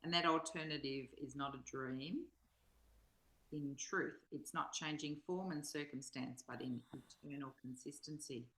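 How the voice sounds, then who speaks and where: A woman speaking in a measured, reflective way, as in a spiritual reading and study conversation. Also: deep voice, steady tone, a bit bright. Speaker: co-presenter reading and discussing a spiritual text. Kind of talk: slow read-aloud and reflective study conversation. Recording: roomy, echoing sound.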